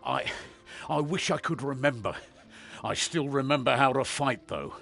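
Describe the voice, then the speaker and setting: An actor playing a weary veteran demon hunter in a fantasy game, with a gruff, wary and intense manner. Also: male voice, medium pitch, animated, normal speed, fairly bright tone, soft background music. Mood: wary, troubled. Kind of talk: fantasy video game voice acting.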